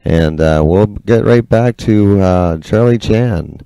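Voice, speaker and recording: A man hosting a radio show, announcing in between segments with unhurried, slightly hesitant pauses. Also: on the low side, creaky, dark tone, clear, resonant tone, dry recording.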